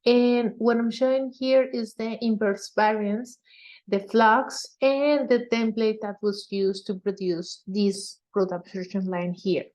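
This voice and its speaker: Slow, dark-voiced woman presenting research. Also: fairly high pitch, steady tone. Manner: halting, frequent hesitations. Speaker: researcher presenting a conference talk. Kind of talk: technical slide presentation.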